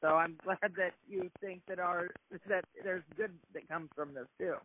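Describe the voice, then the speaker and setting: A male interviewer with a very high voice, cheerful and encouraging as he asks his questions. The audio is narrow and phone-like. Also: fairly even intonation, moderate pace. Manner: upbeat, encouraging. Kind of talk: casual interview. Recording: tinny phone-like line.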